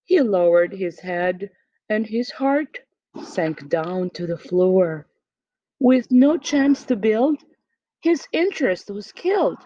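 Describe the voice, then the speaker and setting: Slow, expressive woman reading a picture book. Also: medium pitch, dry recording. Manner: dramatic pauses and emphasis, addressing a child listener. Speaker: storybook reader. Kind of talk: expressive children's picture book read-aloud. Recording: dull, muffled home recording.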